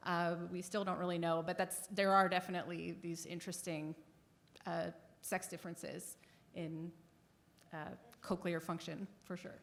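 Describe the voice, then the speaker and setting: A woman's voice: fairly low pitch, flat delivery, normal speed, gravelly. A woman panelist on an auditorium stage explaining hearing science to a public audience.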